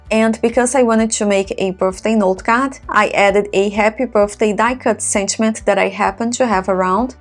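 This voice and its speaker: Woman, native Portuguese speaker, craft tutorial voice-over, calm, explanatory, mid-pitched. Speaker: card-making crafter. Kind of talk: step-by-step craft tutorial narration.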